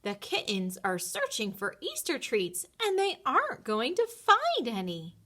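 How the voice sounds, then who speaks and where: Lady doing a grumpy little greedy bunny guy from a storybook, slow grumbly talk, kinda bright. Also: on the high side, huge pitch swings, heavily acted. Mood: dismayed. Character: small grumpy greedy male Easter bunny.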